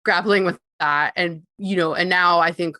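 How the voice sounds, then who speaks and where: A woman's voice: lowish voice, steady tone, normal speed, bright, forceful tone, dry recording. A woman speaking as an expert guest in a podcast interview, explaining ideas in a thoughtful, articulate and conversational way, often saying "like". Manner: thoughtful, articulate, peppered with "like" and small chuckles. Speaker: interview guest, tech company CEO and co-founder.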